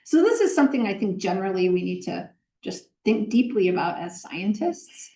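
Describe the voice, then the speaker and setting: A woman professor answering audience questions in a lecture hall, explaining with energy, often pausing on "um" and correcting herself mid-sentence. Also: mid-range voice, sing-song, mellow. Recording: roomy lecture hall sound.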